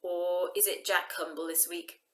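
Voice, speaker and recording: A woman's voice: lowish voice, level tone, measured pace, fairly bright tone. A woman voicing a practical, daring thirteen-year-old girl with an insistent, snippy manner in a storybook. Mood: teasing.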